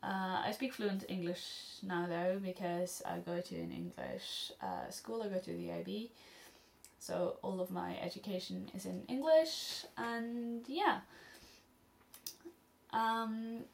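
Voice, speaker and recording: A woman's voice: mid-range voice, lively intonation, slow pace, vocal fry. A Norwegian teenage girl with a Norwegian accent, answering viewer questions in a chatty, rambling home vlog, filmed close on a camera mic. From Norway. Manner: rambling and chatty, many ums and restarts, laughs often. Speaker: studyblr vlogger answering questions. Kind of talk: casual Q&A vlog.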